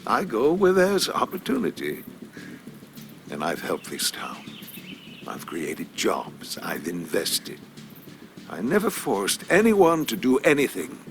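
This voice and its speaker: An actor playing a smug, menacing elderly businessman in a video game, measured and silky, with theatrical pauses. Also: medium pitch, gravelly, slight background noise, exaggerated voice. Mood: smug, self-righteous. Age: older. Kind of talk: video game dramatic acting.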